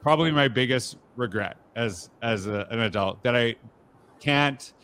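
A man's voice: medium pitch, normal speed, a bit bright, slight background noise. A man hosting a podcast interview, talking in a reflective, conversational way with frequent fillers and restarts. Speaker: podcast host. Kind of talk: casual interview chat.

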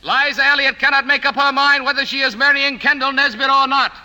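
Ringmaster prosecutor, very high, piercing, pompous, accusing, old radio drama. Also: male voice, little pitch movement, brisk, bright, forceful tone, exaggerated voice. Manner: declamatory, accusing. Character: pompous ringmaster prosecutor in a dream circus trial. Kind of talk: 1950s radio acting.

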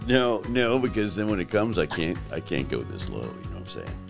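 Deep-voiced joking man hosting a podcast. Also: wildly swooping pitch, brisk, gravelly, faint music behind. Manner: joking, teasing. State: voice lowered by allergies. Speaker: podcast host. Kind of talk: casual chat. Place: South Carolina.

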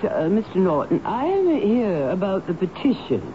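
A woman's voice: medium pitch, sing-song, moderate pace, close to an everyday voice. A woman playing a haughty former stage actress with a grand, formal, dramatic manner in a radio drama. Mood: haughty, insistent. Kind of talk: 1970s radio drama acting. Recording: dull, noisy old radio broadcast.

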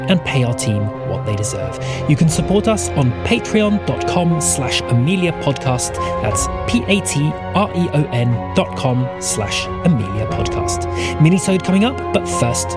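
A male podcast host reads a scripted announcement and credits. His voice is fairly high and very lively in pitch, over loud music. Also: normal speed.

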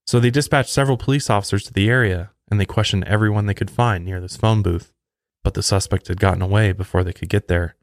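A man's voice: lowish voice, steady tone, fast, no echo, studio quality. A man hosting a true crime podcast, reading a scripted narration.

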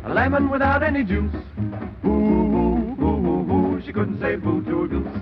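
A jolly old-time bandleader crooning a silly novelty tune, acted by a man. His high voice leaps all over the place. It comes from a dull, lo-fi vintage recording with loud plucked guitar behind. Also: huge pitch swings, normal speed. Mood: sing-song. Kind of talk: old-time radio comedy song.

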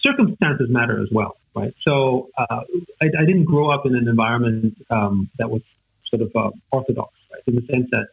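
A man's voice: mid-range voice, normal speed, creaky, slightly rough. A man from Austria, a native German speaker in his forties, reflecting in conversation with many fillers and tag questions. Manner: hesitant, many fillers and "right?" tags. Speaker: guest. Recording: tinny phone-like line.

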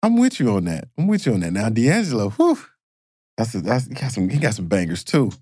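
A male podcast host runs a playful quiz, his voice leaping up and down with excitement. The sound is close and dry, with no room in it. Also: on the low side, a little fast, breathy edge, no room sound. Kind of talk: casual podcast chat.

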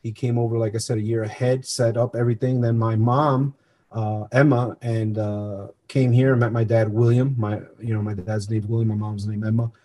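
A man's voice: fairly low pitch, level tone, normal speed, dark tone. A middle-aged man from Colombia who grew up in New Jersey, talking earnestly and conversationally as an interview guest about himself. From born in Colombia, grew up in New Jersey. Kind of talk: conversational personal storytelling.

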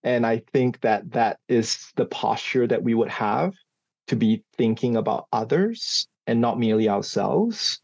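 A man's voice: mid-range voice, expressive, measured pace, creaky, a little mellow, breathy edge, no echo, lo-fi sound. A man from Singapore, a psychology professor, giving thoughtful interview answers with frequent small laughs and fillers.

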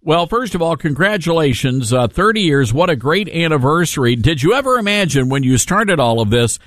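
A man's voice: fairly high pitch, big pitch swings, a little fast, a bit bright, dry recording, clean studio sound. A man hosting a conversational call-in radio show, with a sardonic, joking and emphatic way of talking. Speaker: radio talk show host.

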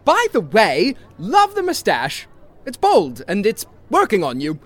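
A man playing an excitable, vain and aggrieved Victorian detective in a comedy audio drama, with a wry, theatrical manner. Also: sits high, measured pace, exaggerated voice. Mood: friendly.

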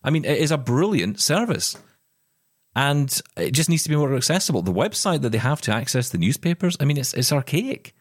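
A man's voice: mid-range voice, very animated, brisk, slightly rough, dry recording, clean studio sound. A middle-aged man co-hosting a podcast, talking in an impassioned, emphatic way with plenty of restarts. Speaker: podcast co-host. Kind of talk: casual chat.

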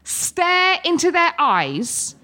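A woman's voice: very high voice, big pitch swings, extremely slow, pushed and bright. A British woman in her thirties telling a comic story live, playfully, with frequent involuntary verbal tics breaking into her speech. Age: 30s. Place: live storytelling event with an audience.

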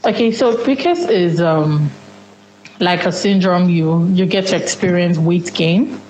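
A young woman with a lowish voice recalls her own story for an interviewer, thoughtful and in no rush. Her phrases drift off and begin again as she works things out aloud. A faint hiss sits under the recording. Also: a little mellow, echoey room, rough recording. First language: Yoruba. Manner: reflective, unhurried, trailing off and restarting. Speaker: interview guest. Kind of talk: candid personal story in an interview.

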